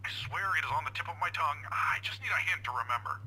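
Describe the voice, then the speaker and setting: A man's voice: a little high, lively intonation, racing, sharp tone, slight background noise, pitched above the performer's own voice, rough recording. A man voicing a friendly, forgetful older security guard in a visual novel, with a jovial, folksy, hesitant manner. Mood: playful, guessing.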